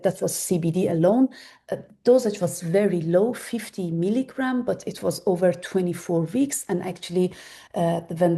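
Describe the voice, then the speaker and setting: A woman in her forties, a native Persian speaker, giving a webinar talk on medical research in a halting way, with many fillers and restarts. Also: lowish voice, vocal fry, dark tone. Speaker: webinar presenter. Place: Germany.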